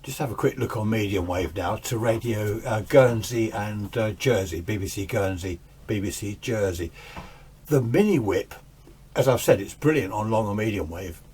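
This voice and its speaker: Man, low-pitched, chatty radio hobbyist. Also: normal speed, a little mellow, breathy edge. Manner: informal, chatty, self-deprecating. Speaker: amateur radio hobbyist and host. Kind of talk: casual hands-on demonstration commentary. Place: home radio shack, indoors. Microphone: camera's built-in microphone. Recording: camera microphone with hiss and background noise. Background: faint radio hiss and static.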